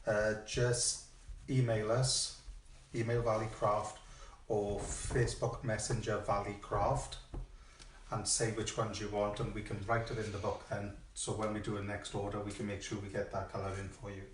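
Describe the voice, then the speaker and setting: Off-mic male helper, casual and even-toned, roomy echo, noisy recording. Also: fairly low pitch, moderate pace. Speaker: off-camera shop helper. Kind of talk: casual chat and customer advice. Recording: distant roomy echo with noticeable noise.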